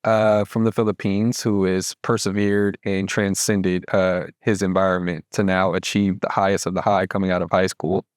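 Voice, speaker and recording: A man's voice: deep voice, level tone, normal speed, few pauses, smooth, no echo, studio quality. A man hosting an interview, asking admiring questions. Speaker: interview host.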